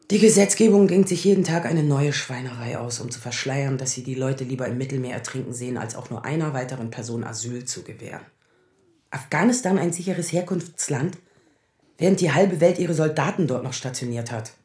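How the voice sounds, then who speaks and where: A low-pitched woman in her thirties, a sharp-tongued and anxious lawyer in a radio drama. She is sarcastic, defensive and combative. The sound is roomy, with clear echo. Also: moderate pace. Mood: bitter sarcasm. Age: 30s. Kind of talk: naturalistic radio drama acting.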